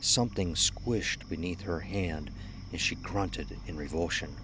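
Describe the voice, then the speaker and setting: A man narrating a scripted horror story in a measured, ominous, dramatic storytelling manner. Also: deep voice, background noise. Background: music under the voice.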